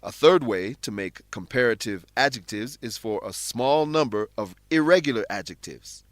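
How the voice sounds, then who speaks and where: A man narrates a scripted English lesson as its teacher. His voice is mid-pitched and he explains each point deliberately. The sound is dry, with no audible room. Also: lively intonation, no room sound.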